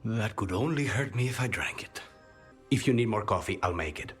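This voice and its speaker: An actor playing a dry-witted, brooding assassin in a fantasy game, understated and deadpan. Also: male voice, lowish voice, brisk, slightly rough, soft background music.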